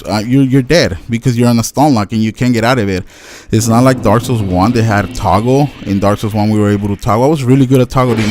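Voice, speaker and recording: A man's voice: mid-range voice, a little mellow. A young man with a Spanish accent, a native Spanish speaker, giving casual gameplay commentary and stumbling over his words as he rambles. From Latin America. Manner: rambling, stutters and restarts often. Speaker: gaming YouTuber. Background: faint household noise, dog barking.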